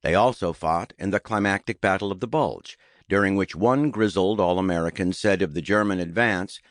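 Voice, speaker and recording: A man reading a nonfiction audiobook in a measured, deliberate way, as a scripted narration. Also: low-pitched, dry recording, studio quality. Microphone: close studio microphone.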